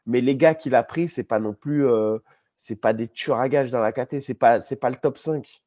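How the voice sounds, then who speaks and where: French man with a cold, mellow lo-fi voice. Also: mid-range voice, normal speed, no echo. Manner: insistent, argues his point. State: has a cold, coughing. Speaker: podcast co-host. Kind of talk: casual podcast debate. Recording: dull lo-fi sound.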